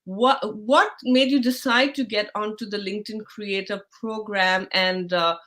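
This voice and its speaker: A woman's voice: mid-range voice, fairly even intonation, measured pace, a bit bright. A middle-aged woman from India with an Indian accent, hosting a live online interview in a chatty way, full of fillers and restarts. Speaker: host.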